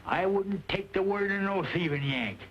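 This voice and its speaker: An actor playing a feisty, folksy rancher with a drawl in an old Western, scrappy and quick to fight. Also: male voice, sits high, lightly acted. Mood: defiant. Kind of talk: old Western film acting. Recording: muffled, hissy old film soundtrack.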